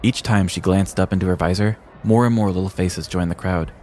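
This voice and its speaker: An American man reading a scripted comedic story in a deliberate, theatrical storyteller's manner. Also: low-pitched, steady tone, darkish tone, faint music behind. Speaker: podcast host telling a story.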